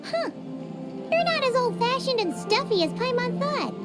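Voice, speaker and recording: A tiny floating fairy companion in a game cutscene, with a very high, squeaky voice that leaps up and down. She is blunt and teasing and refers to herself by name. A faint orchestral score runs behind her. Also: sing-song, loud music behind the voice. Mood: chatty, teasing. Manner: talks about herself in third person, quick to tease.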